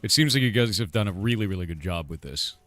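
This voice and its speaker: Deep lively-voiced male streamer. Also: fast. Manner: enthusiastic, talks while gaming. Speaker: streamer host. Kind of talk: casual interview chat.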